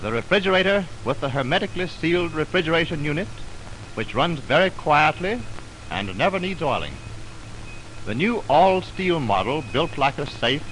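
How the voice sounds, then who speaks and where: An American man announcing a 1920s radio programme from a script, formal, measured and declamatory, on a scratchy old recording. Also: fairly high pitch, a bit bright. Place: radio studio.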